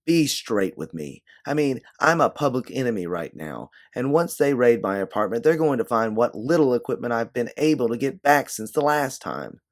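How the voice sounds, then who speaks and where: A man's voice: mid-range voice, lively intonation, moderate pace, hardly any pauses, pitched above the performer's own voice, natural voice. A man voicing a blunt, sarcastic, embittered woman time traveler on the run in an audio story. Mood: angry, exasperated.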